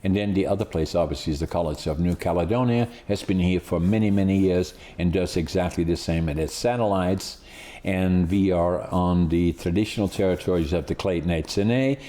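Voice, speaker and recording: A man's voice: deep voice, fairly quick, warm tone. A man in his eighties from the Netherlands with a Dutch accent, hosting a podcast and telling his story in a rambling way with many restarts. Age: 80s. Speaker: podcast host. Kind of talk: casual interview chat.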